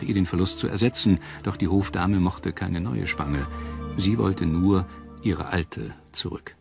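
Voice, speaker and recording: A man reading scripted documentary narration in a measured, articulate storytelling manner. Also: sits low, vocal fry. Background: background music.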